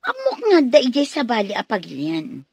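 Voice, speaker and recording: An actress playing a nosy, jealous village gossip in a radio drama, with an exaggerated, prying delivery. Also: female voice, on the high side, wildly swooping pitch, moderate pace, vocal fry, darkish tone, breathy edge, no echo, heavily acted. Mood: prying, suspicious.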